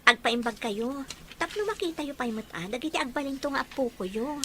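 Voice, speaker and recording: Actress as earnest, caring young fiancée in radio drama; high, bright voice over audible room ambience. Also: female voice, lively intonation, fairly quick, slight background noise. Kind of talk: Ilocano audio drama acting.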